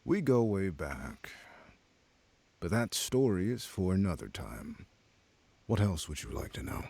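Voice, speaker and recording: A smug, menacing male crime boss for a noir video game. The voice is very deep and husky. He talks down to listeners in cryptic, measured phrases with lively swings of pitch. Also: exaggerated voice. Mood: condescending. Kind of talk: scripted video game voice acting.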